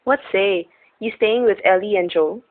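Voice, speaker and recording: A woman's voice: mid-range voice, moderate pace, natural voice. An actress playing a chatty, folksy old woman who runs a roadside diner in a radio drama, with homespun, rustic speech. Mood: friendly. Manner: gabby, homespun, rustic grammar. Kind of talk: old-time radio character acting. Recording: narrow, tinny vintage radio recording.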